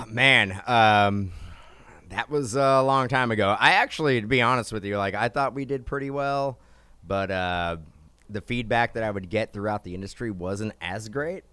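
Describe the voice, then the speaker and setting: Rambling low-voiced male co-host. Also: moderate pace, sharp tone, clear, resonant tone, no room sound. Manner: rambling, restarts often, lots of "like" and "um". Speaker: podcast co-host. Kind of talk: casual hobby podcast chat. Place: podcast studio.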